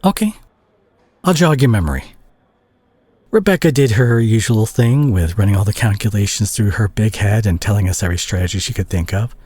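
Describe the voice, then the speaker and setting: A low, very husky superhero played by a man, worried and exasperated with a reckless teammate. He speaks in halting, emotional bursts that swing widely in pitch, as in an intimate audio drama. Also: expressive, darkish tone, no room sound. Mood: stern, exasperated. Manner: emotional, halting, emphatic pauses. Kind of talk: scripted audio roleplay, dramatic monologue.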